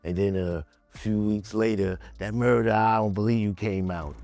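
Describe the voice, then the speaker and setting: A man casually recounting a past run-in during an interview, relaxed and laid-back. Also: lowish voice, normal speed, dry recording.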